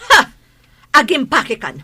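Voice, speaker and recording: A woman's voice: sits high, wildly swooping pitch, slow pace, exaggerated voice. An actress playing a haughty, hot-tempered mother in a Filipino radio drama, with an indignant, scornful manner and a Filipino accent.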